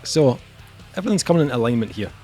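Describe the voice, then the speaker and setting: A man's voice: mid-range voice, expressive, a little mellow, faint music behind. A young man reviewing an album on a podcast, talking casually with lots of ums and joking at himself. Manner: halting, lots of ums, jokey self-mockery.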